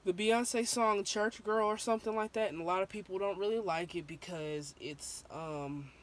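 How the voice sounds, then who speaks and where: A young woman with a sore, slightly hoarse throat, talking through her opinions in a candid, rambling vlog full of ums and restarts. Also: mid-range voice, normal speed. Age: young adult. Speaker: vlogger.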